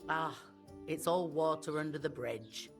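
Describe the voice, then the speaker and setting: Earthy tavern keeper, very low-pitched, shrewd, folksy, frank, medieval game dialogue, music behind. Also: female voice, unhurried. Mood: easygoing, frank. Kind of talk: video game dialogue acting.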